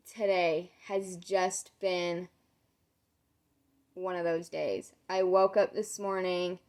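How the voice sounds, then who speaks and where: A young woman vlogger with a hoarse, nearly lost voice, casually telling a long story to camera with lots of "um"s. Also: lowish voice, level tone, slow pace, a little mellow. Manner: rambling, many "um"s, retells conversations. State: losing her voice, sore throat.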